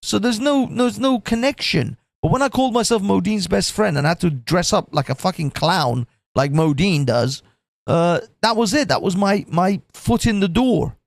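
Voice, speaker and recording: A man hosting a livestream, with a croaky voice, swearing and rambling with many restarts. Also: high voice, lively intonation, normal speed, no echo. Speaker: livestream host. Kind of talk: casual livestream chat.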